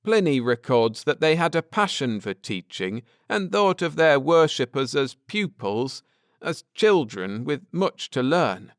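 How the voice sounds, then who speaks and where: A man voicing a grim, intense space-travelling husband in an audiobook, lecturing gravely and earnestly with drama. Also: on the high side, sing-song, measured pace, no room sound, studio quality, voice pushed up. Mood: grave, explaining.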